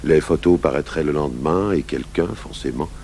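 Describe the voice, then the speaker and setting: An actor playing a calm, shrewd middle-aged police commissioner in a radio crime drama, speaking in a measured, deliberate, probing way. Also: male voice, a bass voice. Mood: reflective, grave. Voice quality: gravelly. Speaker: lead detective and first-person narrator. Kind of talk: 1980s French radio crime drama acting. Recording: old radio broadcast recording, faint hum and hiss.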